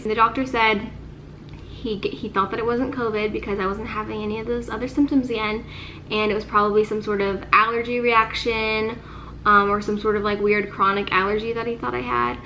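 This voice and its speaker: A woman's voice: fairly high pitch, level tone, normal speed, pushed and bright, a little hiss or hum, rough recording. Congested, nasal-sounding young woman vlogging. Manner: chatty, sprinkles speech with "like". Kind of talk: casual chat to camera.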